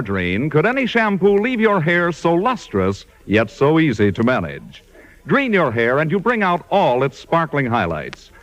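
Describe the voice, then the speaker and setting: Male announcer for vintage 1940s radio, higher-pitched, swooping delivery, formal and emphatic salesmanship, old broadcast sound. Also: lo-fi sound.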